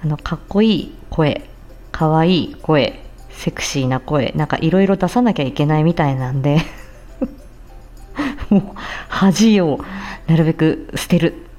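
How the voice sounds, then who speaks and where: Low-pitched, bright-voiced chatty woman streamer. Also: expressive, measured pace, long pauses, faint music behind. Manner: giggly, bashful, chatty with frequent hesitations. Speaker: streamer and amateur voice-drama performer. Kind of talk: casual monologue announcing upcoming streams.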